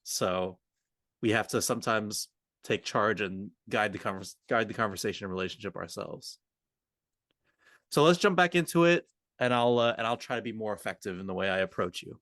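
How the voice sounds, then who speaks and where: Male co-host for a coaching podcast: lower register, very dry sound, informal, works out thoughts mid-sentence. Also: moderate pace, fairly bright tone, no room sound. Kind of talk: conversational coaching discussion with roleplay.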